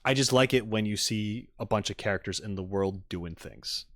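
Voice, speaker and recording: A man's voice: lowish voice, moderate pace, a bit bright. A man casually chatting and reacting on a livestream, with easygoing asides muttered to his viewers. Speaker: streamer reacting to game videos.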